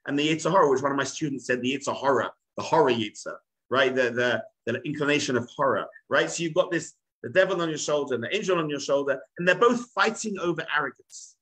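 A man in his forties, a rabbi, teaches an online class over a video call. His talk is animated and emphatic, with a fairly high, bright and forceful voice. The sound comes through a webcam in a home study. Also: poor audio. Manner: animated, emphatic, gesturing a lot. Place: home study with bookshelves, indoors.

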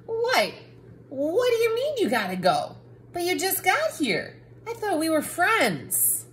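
A woman plays a cranky storybook character, a talking book that bosses and exclaims. The delivery is very animated and swoops up and down, at an unhurried pace. Faint noise sits behind the voice. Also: extremely high pitch, pitched above the performer's own voice, exaggerated voice. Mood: hurt, pleading. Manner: bossy, dramatic exclamations. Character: cranky, grumpy talking picture book, bossy and easily exasperated. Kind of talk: children's picture book read-aloud.

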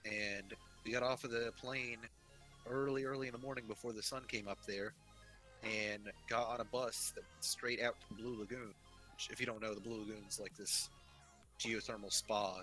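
A man's voice: lowish voice, steady tone, moderate pace, bright, forceful tone, poor audio. A young man chatting casually and telling personal stories while playing an online game. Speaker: player in an online game session. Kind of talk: casual chat and storytelling while gaming. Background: music.

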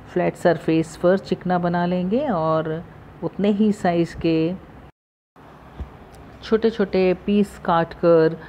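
A woman hosting a cooking channel, calmly talking through the steps of a recipe in her home kitchen, recorded on a phone. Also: fairly low pitch, slight background noise. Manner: calm, instructive, repeats steps. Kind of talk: step-by-step recipe voice-over.

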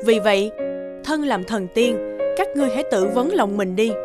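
Woman doing old fairy elder lady, cartoon, wise n stern, a bit high, measured, music behind. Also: animated, close to an everyday voice. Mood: stern, approving. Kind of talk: animated fairy tale dubbing.

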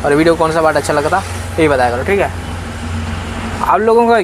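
A man's voice: fairly high pitch, animated, a little fast. A man, chatting casually and informally to viewers as a truck-driver vlogger. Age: 20s. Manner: informal, addresses viewers as brother. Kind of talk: casual vlog chat to viewers. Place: parked truck cab and roadside outdoors. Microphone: phone at arm's length. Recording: clear traffic and vehicle noise. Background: vehicles and urban street ambience.

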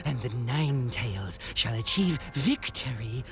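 A woman's voice: a bass voice, very animated, slow pace, heavily acted. A woman playing a gloating, cackling shadow lord with theatrical menace in an audio drama. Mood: triumphant menace. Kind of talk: animated audio drama acting. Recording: loud music behind. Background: dramatic music.

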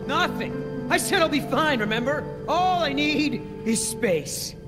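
An actor voicing an angry, bitter young blue-collar mercenary in a video game. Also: male voice, very high voice, animated, a little slow. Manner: bitter, confrontational. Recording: loud dramatic music behind voice.

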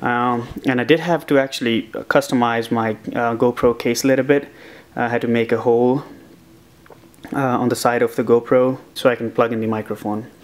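A man's voice: medium pitch, normal speed. A young man casually showing his helmet gear in a home office, rambling with lots of uh and um fillers. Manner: relaxed, rambling with many uh and um fillers. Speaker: motovlogger. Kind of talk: casual vlog, gear walkthrough. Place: small home office, indoors.

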